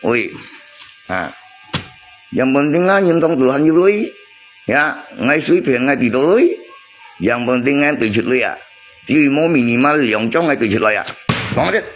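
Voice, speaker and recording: A man's voice: mid-range voice, animated, a little slow, long pauses, faint music behind. A man chatting casually on a livestream, repeating himself and arguing his point to viewers. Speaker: live streamer.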